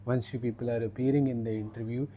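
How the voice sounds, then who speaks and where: A man's voice: mid-range voice, little pitch movement, fast, highs cut off, slight background noise. A man, hosting a conversational interview as an education consultant.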